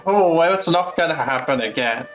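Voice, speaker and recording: A man's voice: fairly high pitch, animated, normal speed, music in the background. A man giving casual live commentary as a game streamer, in an informal, offhand way.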